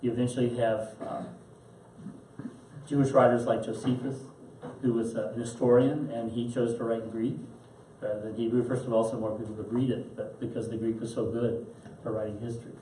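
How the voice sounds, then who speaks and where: A man teaching a class and answering questions in a thoughtful, halting way. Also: medium pitch, flat delivery, mellow. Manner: thoughtful, halting with fillers and restarts. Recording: roomy echo, mic at a distance, faint hiss.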